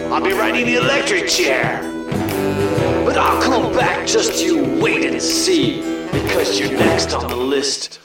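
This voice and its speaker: A man's voice: high voice, full character voice. A man performing a gleefully deranged serial killer in a half-spoken, sing-song novelty horror song, gloating theatrically. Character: gleefully deranged male serial killer named Rudy, singing. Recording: echoey, distant, over rock music.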